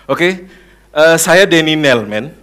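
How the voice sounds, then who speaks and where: A man's voice: fairly high pitch, expressive, slow, fairly bright tone, smooth. A man in his thirties from Padang, Indonesia, telling his own story in a prepared public talk as a guest speaker. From Padang, West Sumatra, Indonesia. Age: 30s. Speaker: coffee shop owner, guest speaker. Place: room in front of an audience.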